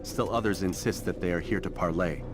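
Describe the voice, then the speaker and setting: A man's voice: sits low, brisk, natural voice. A man playing a brooding, resolute young assassin in a video game, speaking in grave, terse, formal phrases. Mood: grave, determined. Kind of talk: scripted video game acting. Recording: dramatic music underneath.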